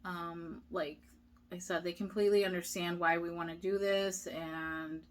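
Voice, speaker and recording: A woman's voice: fairly low pitch, flat delivery, a little slow. A young woman vlogger chatting casually, reading viewer questions aloud and answering with many fillers. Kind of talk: casual chat.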